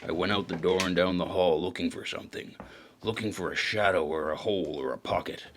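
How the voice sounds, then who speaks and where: An actor playing a weary, brooding hard-boiled detective, narrating a scripted noir audio drama in a deliberate, gravelly voice. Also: male voice, sits low, fairly even intonation, a bit bright, a little hiss or hum. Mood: matter-of-fact.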